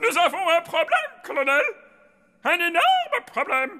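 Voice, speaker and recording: Tiny excitable mummy kid, crazy high voice that jumps all over, pleading and dramatic. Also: a little slow, full character voice. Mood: alarmed. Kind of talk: French cartoon dub acting. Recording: loud cartoon music behind the voice.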